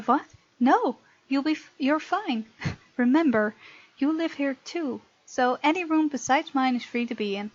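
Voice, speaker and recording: Girl doing a visual novel playthrough, highish voice, kinda dull dry mic. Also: lively intonation, measured pace, no echo. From Netherlands. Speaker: gamer reading a visual novel aloud and voicing the heroine. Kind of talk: let's play narration with casual asides.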